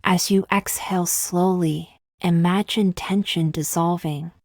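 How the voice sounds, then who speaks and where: A woman reading a scripted guided meditation in slow, gentle, measured phrases with long pauses. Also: fairly low pitch, steady tone, dry recording, clean studio sound.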